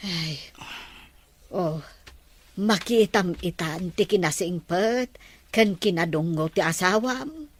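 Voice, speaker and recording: Woman playing pleading Ilocano mother, family drama, slow pace, mid pitch, rough breathy tone. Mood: concerned. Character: patient, pleading mother advising her grown son. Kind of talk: Filipino family drama acting.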